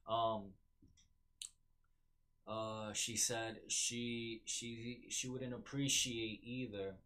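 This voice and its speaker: A man's voice: mid-range voice, level tone, extremely slow, bright, forceful tone. A man with a New York accent hosting a solo podcast, rambling with many fillers and restarts. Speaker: podcast host.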